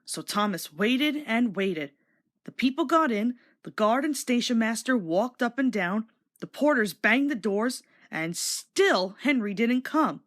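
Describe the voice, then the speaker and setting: A woman narrating a children's storybook aloud in an expressive, scripted read-along style. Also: fairly high pitch, a little slow.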